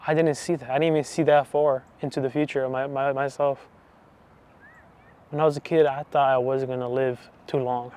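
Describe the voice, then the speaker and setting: A man in his twenties giving a personal faith testimony, talking softly and hesitantly with many restarts. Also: mid-range voice, little pitch movement, fairly quick. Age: 20s. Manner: soft, hesitant, full of restarts and fillers. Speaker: channel host giving testimony.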